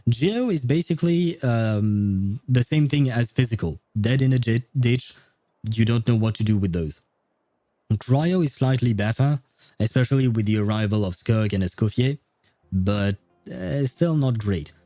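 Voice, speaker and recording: Mellow-voiced man chatting on a game stream. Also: medium pitch, expressive, unhurried, no room sound. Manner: musing, rambling, thinking aloud. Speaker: game livestreamer. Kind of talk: casual livestream chat, answering viewers.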